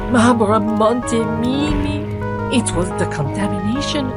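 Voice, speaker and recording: An actress playing a frightened, distraught survivor in a video game, rambling and repeating herself in agitation. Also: female voice, a little high, moderate pace, lightly acted. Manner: agitated, repetitive, fragmented. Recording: loud music behind the voice.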